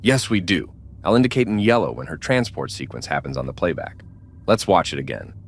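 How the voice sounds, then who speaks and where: Man acting an obliging, lowish-voiced starship doctor. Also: big pitch swings, brisk, slight background noise. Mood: focused. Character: obliging male starship doctor working the data displays. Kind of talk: amateur sci-fi audio drama acting.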